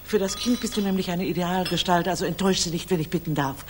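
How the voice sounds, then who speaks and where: An actress playing a vivacious middle-aged operetta singer and doting aunt, chatty and familiar, in 1960s radio drama. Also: female voice, fairly low pitch, quick pace, natural voice. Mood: exuberant teasing. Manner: gushing, familiar, colloquial. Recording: echoing mono radio studio, hiss.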